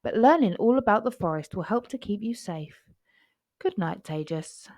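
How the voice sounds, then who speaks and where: Storybook mother tiger, played by a woman: adult, patient, instructive, lively intonation. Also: mid-range voice, moderate pace, no echo, natural voice. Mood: patient, soothing. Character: patient adult mother tiger, gently teaching her cubs. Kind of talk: children's storybook character voice.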